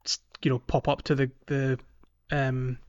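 A man's voice: medium pitch, flat delivery, a little slow. A man co-hosting a podcast in casual game discussion, often correcting his phrasing as he goes. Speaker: podcast co-host. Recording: muffled lo-fi audio.

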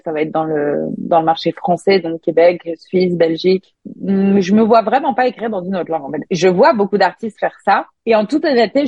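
A woman's voice: mid-range voice, warm tone, no room sound, dull audio. A woman singer and songwriter, a native French speaker, chatting casually in an interview with frequent restarts. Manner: chatty, many restarts and fillers.

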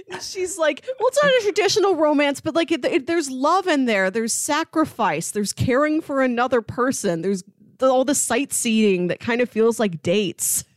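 A woman's voice: a little high, dry recording, studio quality. A woman co-hosting a podcast, chatting casually about film in a conversational, storytelling way. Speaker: podcast co-host.

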